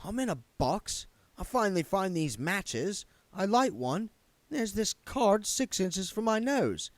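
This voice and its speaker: A man's voice: sits high, sing-song, a little slow, dry recording, pitched above the performer's own voice. A man voicing a blunt, gloomy older working-class man, a reluctant vampire count, in a book read aloud. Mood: grumbling.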